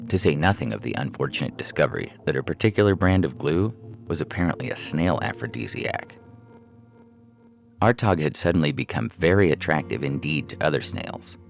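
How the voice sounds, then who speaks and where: Very deep gravelly man narrating. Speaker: story narrator. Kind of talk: scripted narration of humorous science fiction. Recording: music bed behind the voice.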